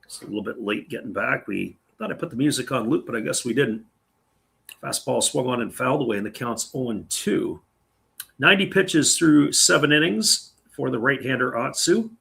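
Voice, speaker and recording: A man calling a live baseball game play by play in a relaxed, chatty way, reading viewer comments between pitches, his voice going raspy. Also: normal speed. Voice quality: gravelly. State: voice getting raspy.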